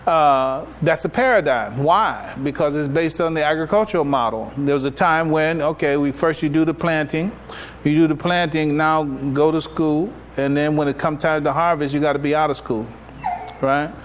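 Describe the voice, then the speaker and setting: A man's voice: a little high, normal speed. An American man giving an informal religious lecture to a congregation as an imam, conversational and folksy, often checking in with rhetorical questions, recorded live in a noisy room. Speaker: imam giving a public address and taking questions. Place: live gathering at a mosque, speaking to attendees.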